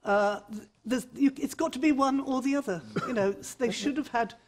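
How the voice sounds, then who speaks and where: A woman's voice: sits high, brisk, darkish tone. Exasperated woman speaking up from an audience. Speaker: audience member. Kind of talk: spontaneous debate comment.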